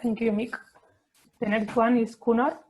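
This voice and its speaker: A woman's voice: a little high, level tone, a little mellow, husky, poor audio. A woman moderating an online meeting, calling on speakers briefly. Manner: brief, brisk. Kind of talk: moderating introductions.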